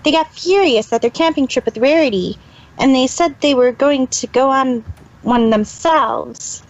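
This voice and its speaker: A woman's voice: sits high, a little slow, dull audio. A woman voicing a proud, easily flustered cartoon pony magician who talks about herself in the third person, in a fan fiction reading. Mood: guilty, resolute. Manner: self-important third-person speech.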